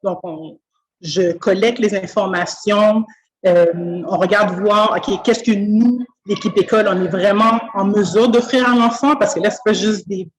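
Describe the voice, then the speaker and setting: Earnest Québécoise on a video call. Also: female voice, medium pitch, unhurried, rough recording. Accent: Québécois French. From Quebec. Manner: thoughtful, earnest, many fillers and restarts. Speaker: school speech-language pathologist, guest. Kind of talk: conversational interview. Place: school office.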